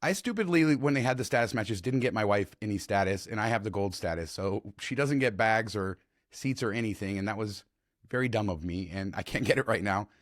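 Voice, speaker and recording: A man hosting a travel podcast, chatty and enthusiastic, his voice slightly hoarse, on a close podcast mic. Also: fairly low pitch, a little fast, no room sound. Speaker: podcast host. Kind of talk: casual travel podcast chat.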